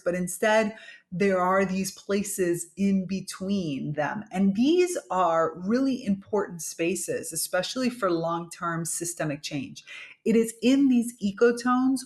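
Woman host, lowish voice, reflective and explanatory, casual podcast monologue. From United States (Miami). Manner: thoughtful, explanatory, with frequent "right?" and fillers. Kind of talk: reflective solo podcast talk.

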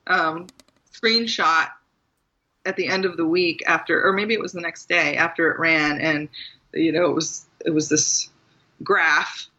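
Woman from Maryland, author guest, laughs easily, crisp bright tone, dull lo-fi line. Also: low-pitched, steady tone, unhurried. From Maryland, USA. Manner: chatty, laughs often, many asides. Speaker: guest, author and memoir teacher. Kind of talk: casual conversational interview.

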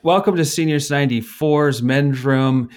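A middle-aged man co-hosting a podcast, asking curious questions in relaxed chat. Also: fairly high pitch, normal speed, over music. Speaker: podcast co-host. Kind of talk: casual interview chat.

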